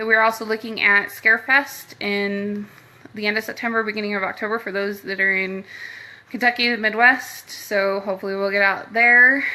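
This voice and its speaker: A woman's voice: fairly high pitch, sharp tone. A middle-aged American woman giving a casual update to her followers, chatty and informal, rambling from point to point. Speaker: paranormal team host.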